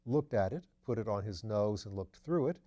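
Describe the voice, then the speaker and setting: A man reading a novel aloud as scripted literary narration, with measured, careful phrasing. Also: fairly low pitch, expressive, mellow. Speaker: audiobook reader.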